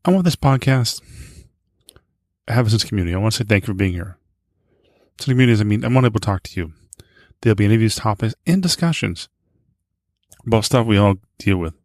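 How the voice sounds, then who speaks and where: A man with a fairly low voice speaks as a podcast host. His delivery is informal and loose, and he often rewords himself as he goes. The sound is dry and close to the microphone. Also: animated, fast talker, pauses often, a little mellow, no echo. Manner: informal, rambling, rephrases himself. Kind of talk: casual podcast introduction and interviewing.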